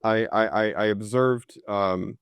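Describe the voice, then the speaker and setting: A low-pitched man in his thirties speaks over a video call. He answers questions in a halting, thoughtful way, full of fillers and restarts. The sound is dry and close. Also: steady tone, clean tone, no echo. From United States. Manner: hesitant, many fillers and restarts. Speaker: historian guest. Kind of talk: conversational interview answers.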